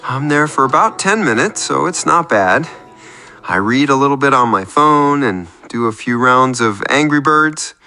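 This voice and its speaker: A man's voice: medium pitch, animated, moderate pace, sharp tone. A man playing a self-deprecating, neurotic caller on a comic radio show, rambling earnestly and apologetically. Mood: narrating earnestly.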